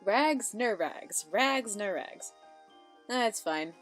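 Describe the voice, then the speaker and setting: Casting: woman commentator reacting off the cuff, mid-range voice, musing to herself, soft music underneath. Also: mid-range voice, animated, very slow. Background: faint music from the video.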